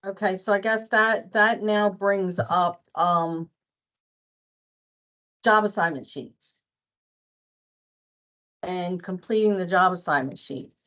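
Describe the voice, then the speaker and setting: Woman, slightly low voice, informal training presenter, workplace meeting, dull lo-fi recording. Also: slow, long pauses, fairly bright tone.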